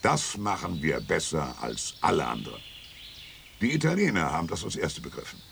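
An actor playing an ironic European thinker who lectures emphatically in a radio play. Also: male voice, very animated, normal speed, creaky, fairly bright tone, slight background noise, heavily acted. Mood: lecturing. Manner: emphatic, lecturing, swings pitch widely.

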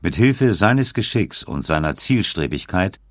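A man narrating a scripted documentary in a measured, factual way. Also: sits low, very animated, dry recording, studio quality.

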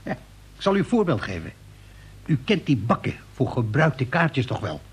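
Raspy, pompous eccentric inventor. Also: male voice, mid-range voice, very animated, normal speed. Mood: self-important. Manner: pompous, explanatory. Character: eccentric self-important male inventor of trivial things. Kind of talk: radio play acting.

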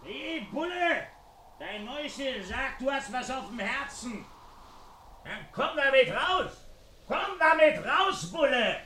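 High, sneering, taunting criminal. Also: male voice, big pitch swings, slow, bright, forceful tone, exaggerated voice. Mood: mocking, gloating. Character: male criminal taunting a policeman, mocking and gloating. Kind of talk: 1970s German radio crime drama acting. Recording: roomy 1970s radio play, faint noise.

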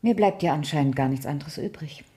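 An actress playing a composed, kindly woman with a gentle, measured, lightly wry manner in a 1970s German radio crime drama. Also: low voice, lightly acted. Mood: gentle, resigned.

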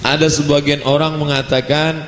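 Man, preacher, animated, roomy echoing hall, faint ambience. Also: on the high side, normal speed, a little hiss or hum. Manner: lively, animated delivery with playful asides. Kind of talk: religious lecture.

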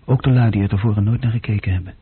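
A restless young office clerk thinking aloud in a radio play. His voice is lowish and very husky, with dry, clipped, self-mocking phrases. The old recording is thin, like a phone line. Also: steady tone, rapid-fire. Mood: musing, self-mocking. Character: young male bookkeeping group leader, self-critical, sardonic, restless dreamer. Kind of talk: radio play inner monologue.

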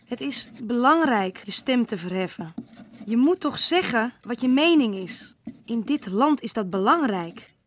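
A woman narrating a letter with slow, reflective delivery. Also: fairly high pitch, lively intonation, a little hiss or hum. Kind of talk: scripted letter-reading narration.

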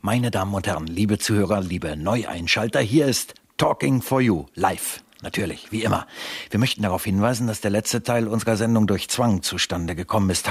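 Low, husky radio show presenter. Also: male voice, no room sound. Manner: smooth, formal showman patter. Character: polished, compliant male live radio show presenter. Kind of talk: radio drama acting, show announcing.

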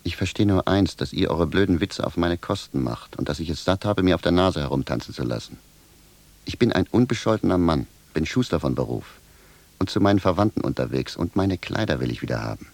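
A plain country cobbler for radio drama. The voice is very deep and recorded dry and close. He is blunt and bewildered, a stubborn, aggrieved common man. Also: animated, brisk, vocal fry, a little mellow, no echo, exaggerated voice. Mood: indignant, stubborn. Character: plain-spoken, bewildered, stubborn village cobbler, grumbling indignantly. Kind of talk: theatrical radio drama dialogue.

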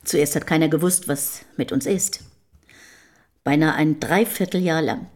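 An actress playing a guarded, down-to-earth middle-aged housekeeper of the early 1800s in a radio play, speaking plainly and tersely. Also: female voice, low-pitched, measured pace, darkish tone. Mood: plain, reserved. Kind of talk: German radio play acting.

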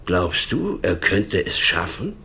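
An actor playing a concerned, moralising police boss in a radio crime drama, admonishing and insistent. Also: male voice, low-pitched, huge pitch swings, slow, vocal fry, pushed and bright, heavily acted.

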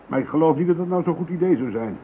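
A man playing a determined police investigator in a radio drama, calm, dry and persuasive. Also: on the high side, quick pace, natural voice. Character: determined middle-aged male police investigator. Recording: tinny narrow-band radio recording with faint hiss.

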